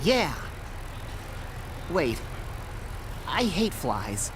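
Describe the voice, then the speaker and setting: A man voicing a hapless, literal-minded bee sidekick in an animated game cutscene. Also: fairly high pitch, very slow, noisy background, exaggerated voice. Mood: bemused.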